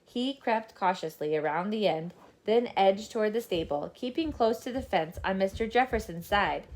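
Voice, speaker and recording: A woman reading a children's storybook aloud as scripted, expressive narration. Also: medium pitch, moderate pace, rough recording. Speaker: storybook reader.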